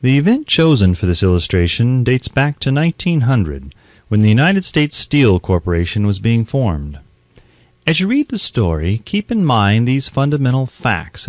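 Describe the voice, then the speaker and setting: A man's voice: on the low side, a little mellow, dry recording. Man reading an audiobook, dry close sound. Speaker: audiobook narrator. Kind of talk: scripted audiobook narration.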